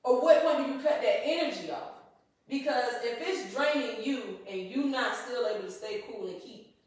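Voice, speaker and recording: Animated woman in an echoey room. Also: on the high side, normal speed, recorded from a distance. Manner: animated, laughs often, preacherly exclamations. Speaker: co-host. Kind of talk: casual chat. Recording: roomy, echoey from off mic.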